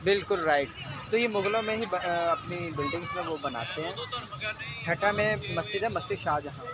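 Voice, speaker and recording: A man's voice: high voice, a little fast, highs cut off. A man in his twenties with a Pakistani accent, explaining casually as a heritage vlog host. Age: 20s. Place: outdoors in a mosque garden. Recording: loud outdoor ambience, traffic noise.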